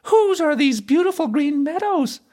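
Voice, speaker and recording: Spoiled, spiteful storybook princess, very high-pitched, theatrical, given to mocking and whining. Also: expressive, unhurried, a little mellow. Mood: haughty, curious. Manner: exaggerated, theatrical exclamations.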